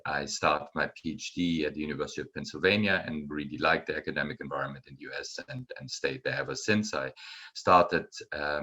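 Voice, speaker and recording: Very deep-voiced German-accented male lecturer. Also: sharp tone. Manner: unhurried, many ums and uhs. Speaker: guest lecturer. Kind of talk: university lecture.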